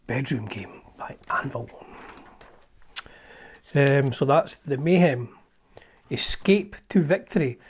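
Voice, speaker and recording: A middle-aged Scottish man chats casually as a show's host, wandering from thought to thought with plenty of ums and false starts. His voice sits a little higher than most men's. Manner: rambling and chatty, with frequent ums and restarts. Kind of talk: casual chat, leafing through an old magazine.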